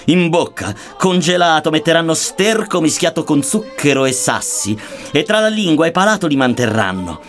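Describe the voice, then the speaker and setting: Man solemnly declaiming verse, higher-pitched voice. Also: animated, moderate pace, a bit bright. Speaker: reader of verse. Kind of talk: declaimed recitation of archaic Dantesque verse. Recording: music under the voice. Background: music.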